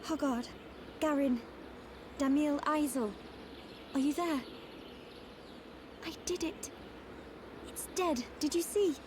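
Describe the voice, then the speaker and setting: A dignified young warrior. Her high voice moves expressively at a slow, formal pace, in grave video game drama with noise behind. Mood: grieving, solemn.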